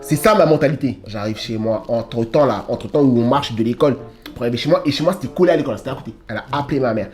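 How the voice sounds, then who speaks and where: A young man casually telling a story to his sister, animated and full of slang, acting out the scenes. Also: medium pitch, rapid-fire, soft background music.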